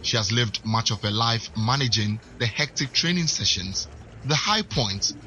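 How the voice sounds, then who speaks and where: A man narrating a sports news feature in a measured, polished, scripted way. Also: mid-range voice, animated, pushed and bright, slight background noise. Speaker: sports reporter. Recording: dull lo-fi sound.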